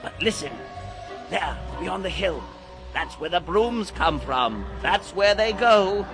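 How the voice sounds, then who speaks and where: An actor playing a mysterious, grandly macabre guide to young boys in a dramatized audiobook, declaiming like an incantation. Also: male voice, high voice, animated, slow pace, heavily acted. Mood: brooding, confiding. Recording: loud music under the voice.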